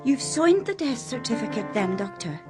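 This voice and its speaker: An actress playing an inquisitive, insinuating nurse in a radio drama, speaking in a pointed, knowing manner. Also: female voice, fairly high pitch, sing-song, normal speed, music in the background. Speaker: actor. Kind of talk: British radio drama acting.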